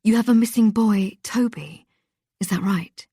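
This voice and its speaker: A woman voicing a cheery young female radio presenter with a smooth, velvety voice in an audiobook. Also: medium pitch, measured pace, dry recording, studio quality, voice pushed up. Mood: chirpy. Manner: bright and chirpy. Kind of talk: audiobook character voice.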